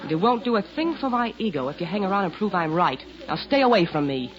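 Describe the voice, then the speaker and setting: An actress playing a cool, jaded, sardonic nightclub singer in 1940s-50s radio drama style. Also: female voice, mid-range voice, sing-song, quick pace, a crowd in the background, natural voice. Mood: world-weary, dismissive. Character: jaded, guarded nightclub singer, world-weary femme fatale. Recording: dull old radio recording, music behind.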